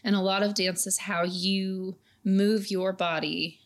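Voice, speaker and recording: A woman's voice: mid-range voice, steady tone, measured pace. A woman guest who teaches dance, talking in a friendly, reflective way on a podcast. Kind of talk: podcast conversation.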